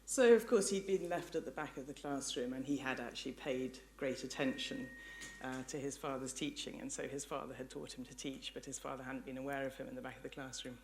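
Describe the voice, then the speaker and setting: A woman lecturer speaks in a measured, articulate way. She explains ideas and tells illustrative stories as a professor would to the public. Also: extremely low pitch, fairly even intonation, darkish tone, slight background noise.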